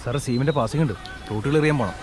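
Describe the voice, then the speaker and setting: An actor playing a brusque, bossy police officer in a naturalistic film comedy. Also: male voice, medium pitch, racing, natural voice. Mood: businesslike. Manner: bossy, gruffly informal. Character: brusque, scolding police station sub-inspector. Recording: film audio with loud crowd and traffic.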